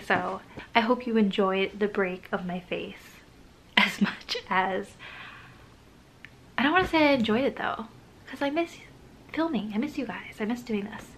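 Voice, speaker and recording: A woman's voice: mid-range voice, slight background noise. A young woman with a stuffy nose from a cold, chatting casually to camera in a rambling, self-deprecating way. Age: young adult. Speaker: writing vlogger.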